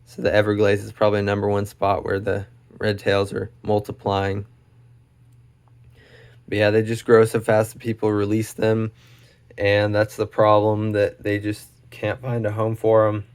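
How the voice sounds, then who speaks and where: A young man hosting an educational video, talking casually and enthusiastically about animals, with lots of fillers, his voice getting hoarse. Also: fairly low pitch, completely flat delivery, moderate pace, darkish tone. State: losing his voice. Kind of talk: casual unscripted explainer.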